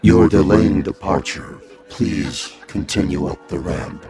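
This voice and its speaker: An actor playing a towering, polite alien steward in a radio drama, giving measured, courteous but insistent orders. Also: male voice, rumbling low, animated, gravelly, music in the background, full character voice. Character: towering polite alien steward herding human passengers aboard.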